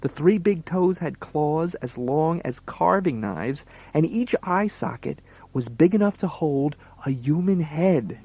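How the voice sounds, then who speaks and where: A man's voice: fairly high pitch, lively intonation, unhurried, few pauses, mellow, breathy edge, no echo. A man working as a children's entertainer, playing the straight man in comedy banter and explaining things to young listeners.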